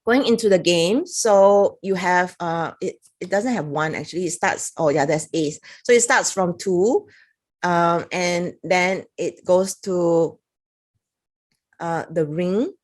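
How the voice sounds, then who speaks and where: A woman's voice: on the low side, slow. A woman with a Singaporean accent giving a chatty, casual review to camera, reading passages aloud with little laughs. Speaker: product reviewer.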